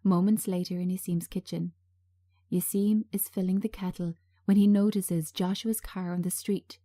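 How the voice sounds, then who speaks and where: A woman's voice: fairly low pitch, fairly even intonation, moderate pace, warm tone. A woman narrating a drama script, reading out stage directions.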